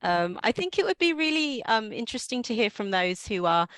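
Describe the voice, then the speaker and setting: A woman's voice: on the high side, sing-song, brisk, fairly bright tone, dry recording. A woman co-hosting on a video call, stumbling and repeating words. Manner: apologetic, stumbling. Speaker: co-host. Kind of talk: casual chat on a video call.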